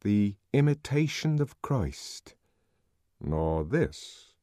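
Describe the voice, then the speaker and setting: A man's voice: fairly low pitch, big pitch swings, very slow, mellow, no echo, full character voice. A man voicing an urbane, wry male controller in an audiobook, lecturing genially with dry, teasing authority. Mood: amused, showing off.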